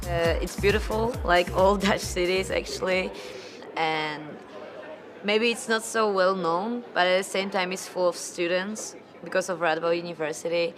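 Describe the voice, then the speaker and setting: A young woman from Poland talks in a casual, chatty way, sprinkling in "like". Her voice sits fairly low, with soft music in the background. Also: fairly even intonation, normal speed. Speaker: exchange student. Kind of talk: interview testimonial.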